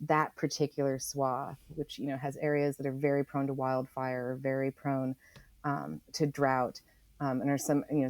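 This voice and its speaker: A middle-aged American woman explaining in conversation as an interview guest, thoughtful and halting, leaning on key phrases. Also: low-pitched, a little mellow, no room sound. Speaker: author guest on a podcast.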